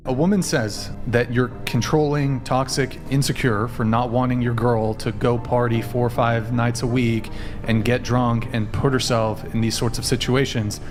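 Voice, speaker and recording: A man with a mid-pitched voice delivers opinionated commentary. He sounds assertive and articulate, and music plays clearly underneath him. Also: fairly even intonation, moderate pace.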